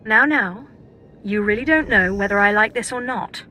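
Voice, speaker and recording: A woman's voice: medium pitch, moderate pace, pushed and bright, faint music behind, lightly acted. An actress voicing a defiant young female Sith acolyte who talks coolly. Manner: cool, defiant.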